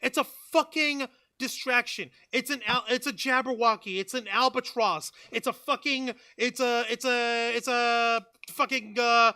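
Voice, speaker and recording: A man hosting a casual, joking online talk show, loud and exasperated, swearing often. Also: very high-pitched, fairly even intonation, a little slow, few pauses, clear, resonant tone. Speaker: podcast host. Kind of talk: casual comedic chat.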